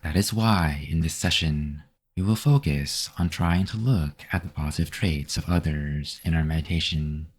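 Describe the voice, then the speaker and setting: A man guiding a meditation with calm, measured, instructive scripted narration. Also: extremely low pitch. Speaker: meditation guide.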